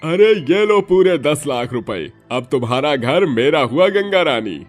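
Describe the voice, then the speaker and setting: A man's voice: fairly high pitch, animated, a little slow. Guy doing a pushy greedy loan shark, brash and taunting, bit of music under. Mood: smug. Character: blunt, grasping male village moneylender. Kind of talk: audio storybook drama acting.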